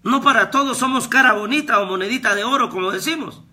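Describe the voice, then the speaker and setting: A man, preaching a fervent devotional sermon as a pastor and prophet, with repetitions that rise to shouts. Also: very high voice, fast talker. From Guatemala. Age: 30s.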